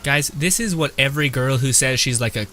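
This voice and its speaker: A man giving casual, reactive gameplay commentary as a streamer, excitable, slangy and quick to swear. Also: medium pitch. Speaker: gaming streamer. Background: game music.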